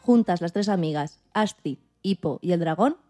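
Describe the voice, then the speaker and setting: Woman narrating, mellow low voice over music. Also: animated, normal speed. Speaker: podcast host. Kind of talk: scripted narration of a story summary.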